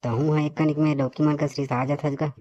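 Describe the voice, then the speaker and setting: A man's voice: on the high side, level tone, fairly quick, warm tone, raised voice, close to an everyday voice. A man voicing a weary, grumbling male cartoon character in comic dialogue, complaining sarcastically with a Chhattisgarhi accent. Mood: sarcastic complaining. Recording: dull lo-fi audio, faint noise.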